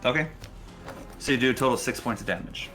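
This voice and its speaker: Bright-voiced male game master. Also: mid-range voice, quick pace, soft background music. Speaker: game master and show host. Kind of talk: narrating a tabletop fantasy game.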